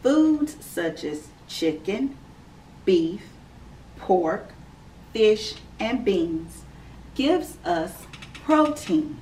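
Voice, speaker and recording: A woman in her thirties, a preschool teacher, reading a picture book aloud to young children in a slow, clear, sing-song storytime manner from her classroom. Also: mid-range voice, sing-song, darkish tone. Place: classroom indoors. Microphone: camera a few feet away. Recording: slightly distant camera mic with faint room noise.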